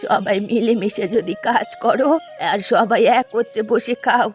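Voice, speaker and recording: A woman's voice: on the high side, fairly even intonation, measured pace, close to an everyday voice. A woman voicing an affectionate but aggrieved older village mother in a cartoon fairy tale. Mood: warm, explaining. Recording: music under the voice.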